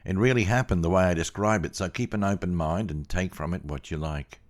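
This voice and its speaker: A man narrating a first-person story in a measured, plain-spoken way. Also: sits low. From Australia.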